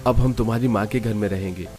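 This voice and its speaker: Man voicing an earnest, protective young husband, fairly low. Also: fast, dark tone, faint music behind, natural voice. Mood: reassuring.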